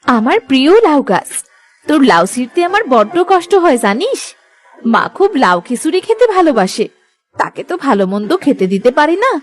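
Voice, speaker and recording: A woman's voice: high voice, big pitch swings, fairly quick. A woman voicing a caring, dutiful elder daughter-in-law in a village cartoon, with a tender, reassuring manner. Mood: tender, pleased. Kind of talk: Bengali moral-story cartoon dubbing.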